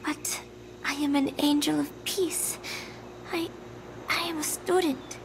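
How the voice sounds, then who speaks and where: Fragile dazed girl-next-door type young woman from a game, high breathy voice, slow n halting, some noise behind. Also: fairly even intonation, full character voice. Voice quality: breathy, often dropping to a whisper. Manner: halting, hesitant, fragmented phrases. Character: frail, confused young woman, gentle and haunted. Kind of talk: dramatic video game cutscene acting.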